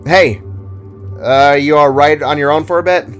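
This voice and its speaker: A man's voice: a little high, fairly even intonation, moderate pace, smooth, soft background music, voice pushed up, lightly acted. A man voicing an affectionate alien father-to-be in a fantasy audio drama, casual and earnest. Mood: upbeat, casual. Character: affectionate male alien spark, devoted expectant father.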